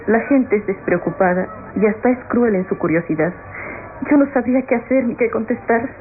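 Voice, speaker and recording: An actress with a Latin American accent playing an anguished, gentle, pious young woman in a classic radionovela, speaking with measured, melodramatic sorrow. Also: mid-range voice, a little hiss or hum, natural voice. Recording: tinny old radio drama recording. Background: dramatic music.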